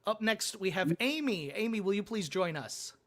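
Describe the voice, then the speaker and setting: A man's voice: high voice, lively intonation, moderate pace, a bit bright. An American man presenting as the host of a game show, introducing contestants. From Michigan, United States. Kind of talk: scripted show hosting, introductions.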